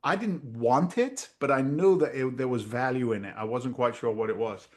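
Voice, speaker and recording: A middle-aged man, a real estate investor telling stories in a casual interview over a video call, lively and blunt, acting out voices. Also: mid-range voice, normal speed. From Massachusetts. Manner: animated storyteller, acts out quoted voices, swears casually.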